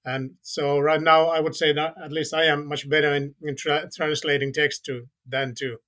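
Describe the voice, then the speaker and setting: Finnish man, guest on a podcast, halting careful phrasing, fairly high voice. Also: flat delivery, pushed and bright. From Finland. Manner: careful, halting, with many restarts. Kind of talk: casual podcast conversation.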